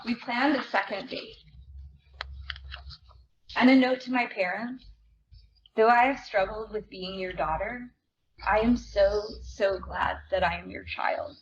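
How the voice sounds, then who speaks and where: A woman's voice: mid-range voice, fairly even intonation, unhurried, long pauses. A woman presenting and reading aloud at a live indoor event. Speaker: presenter and reader at library event. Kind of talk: live dramatic reading of memoir. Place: indoor event before an audience. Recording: dull live recording, noticeable room noise.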